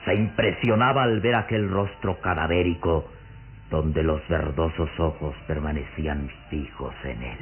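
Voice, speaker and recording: Very deep, solemn radio narrator. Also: male voice, measured pace. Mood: grave, ominous. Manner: grave, suspenseful, theatrical. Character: solemn narrator of a gothic horror tale. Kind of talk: dramatic scripted radio narration. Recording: muffled old radio recording with music.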